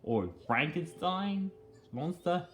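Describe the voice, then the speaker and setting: Halting, pedantic man over game music. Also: high voice, animated. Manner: halting, repeats and restarts phrases. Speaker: commentator on video game cutscenes. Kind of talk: rambling casual commentary over game cutscenes.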